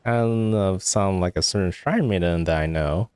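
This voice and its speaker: A man's voice: deep voice, moderate pace, darkish tone, clean tone, no echo. A man streaming a video game with casual, musing commentary, reacting aloud as he plays. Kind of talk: casual let's play commentary.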